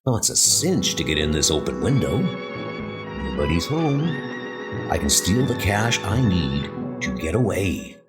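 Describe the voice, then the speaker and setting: A man's voice: low voice, very animated, a little slow, loud music behind the voice. A man playing a scheming, tough-talking escaped convict in a horror comic dub. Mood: dismissive, confident.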